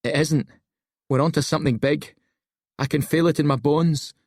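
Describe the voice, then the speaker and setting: A narrator as a cocky, raised-pitch taunting boy. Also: fairly even intonation, normal speed, darkish tone, no room sound, clean studio sound, lightly acted. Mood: confident. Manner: taunting, grouchy. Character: cocky, mocking, treasure-hungry boy.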